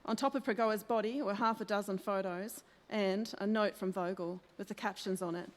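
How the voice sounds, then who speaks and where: A long hall echo surrounds the voice. A woman plays an affectionate, eager newspaper sidekick in a vintage radio drama, at an ordinary middle pitch. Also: fairly even intonation, moderate pace, lightly acted. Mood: pleased, informative. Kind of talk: old-time radio play acting. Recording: echoey hall, long reverb.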